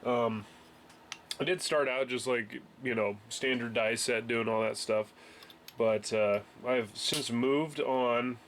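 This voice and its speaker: A man's voice: mid-range voice, steady tone, takes it slowly, a bit bright, slight background noise. Congested young man rambling at a workbench. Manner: rambling, many fillers and restarts. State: congested from a cold. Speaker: home reloading hobbyist. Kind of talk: casual workbench tutorial. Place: garage workbench, indoors.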